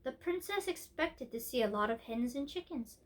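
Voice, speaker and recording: A woman storyteller narrating a storybook in an expressive reading style. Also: high voice, normal speed.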